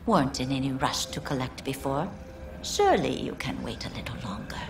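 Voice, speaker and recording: A woman playing a shrewd older Japanese underworld fixer in a video game, sly and mock-courteous, with sardonic, deliberate delivery. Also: very deep voice, very animated, gravelly, noisy background, heavily acted. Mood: wary. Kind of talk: dramatic game dialogue.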